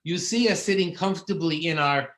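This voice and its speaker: A man's voice: on the high side, a little fast, echoey room. A man hosting a video call, introducing a guest in a rambling, enthusiastic way. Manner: rambling, enthusiastic, warm praise. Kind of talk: casual spoken introduction. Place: living room.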